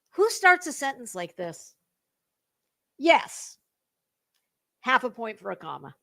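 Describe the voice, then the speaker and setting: A woman hosting a gossip stream, giving a dramatic reading with sarcastic, mocking commentary in a theatrical, sassy way. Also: medium pitch. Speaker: host of a gossip commentary livestream.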